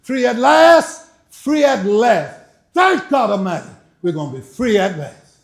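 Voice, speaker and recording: An older man giving a speech to a live audience, first a dramatic reading and then a relaxed chat, laughing. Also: measured pace, vocal fry, slight background noise. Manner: booming oratory with rising emphasis; chatty, laughing, folksy asides. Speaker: speaker and author. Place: library event hall. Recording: echoey room.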